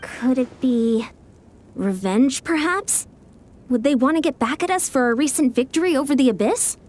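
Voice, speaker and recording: A woman's voice: high-pitched, expressive, normal speed, darkish tone, slight background noise. A woman playing an earnest, practical young female warrior in a video game, speaking directly. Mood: concerned. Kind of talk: video game dialogue acting.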